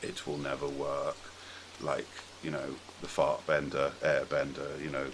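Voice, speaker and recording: A man's voice: very deep voice, completely flat delivery, unhurried, noisy background. A man hosting a podcast, talking casually and giving his opinions with emphatic, sweary phrasing. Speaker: podcast host.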